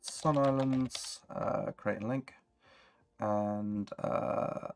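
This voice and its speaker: Male stream host, low register, chatty and encouraging, casual livestream delivery. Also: steady tone, extremely slow, creaky, a little hiss or hum. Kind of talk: casual worldbuilding livestream chat.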